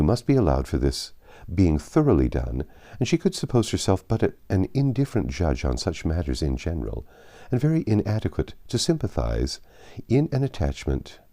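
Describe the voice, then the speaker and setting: Deep, mellow man narrating a classic novel. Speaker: audiobook narrator.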